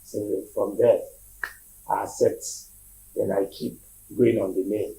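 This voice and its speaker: Man, Nigerian accent, low-pitched, creaky, conversational, repetitive, interview guest. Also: little pitch movement, slow, warm tone, low-quality recording. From Lagos, Nigeria. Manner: repeats himself, often checks listener understands. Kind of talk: casual interview storytelling.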